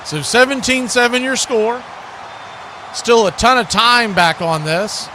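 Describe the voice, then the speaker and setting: An American man giving live football play-by-play in short clipped phrases that build with excitement. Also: very high-pitched, a little slow, a little hiss or hum. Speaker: play-by-play announcer.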